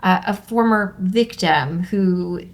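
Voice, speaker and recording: A woman's voice: fairly low pitch, slow pace. A woman hosting and reading aloud in an upbeat, conversational presenter's style. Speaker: host and script reader. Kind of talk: upbeat hosted discussion and stage-direction reading.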